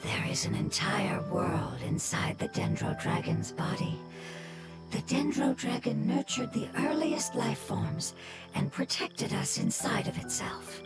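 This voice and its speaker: A woman's voice: mid-range voice, huge pitch swings, measured pace, gravelly, loud music behind the voice, exaggerated voice. A woman voicing a wise mushroom spirit in a video game, with formal, precise wording. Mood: wise, calm.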